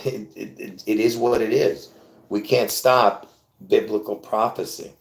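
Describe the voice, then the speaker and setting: Rambling low-voiced man in an echoey room. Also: steady tone, a little slow, vocal fry. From born in Lebanon. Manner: rambling, chatty, laughs often, restarts. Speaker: livestream host. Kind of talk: casual solo livestream monologue. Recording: roomy echo.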